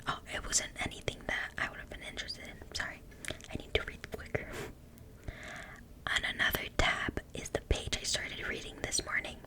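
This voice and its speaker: A woman with a French accent whispering as she reads a book aloud in ASMR style, softly stumbling over words and correcting herself. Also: normal speed, poor audio. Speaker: ASMR creator.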